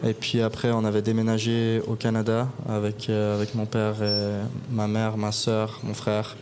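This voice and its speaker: Young guy, live bar podcast guest, flat even voice, stops to think a lot, bit of background hum. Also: fairly low pitch. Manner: even, unhurried answers with frequent pauses to think. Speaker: guest hockey player. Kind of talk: interview answers on a live podcast. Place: bar, live audience event.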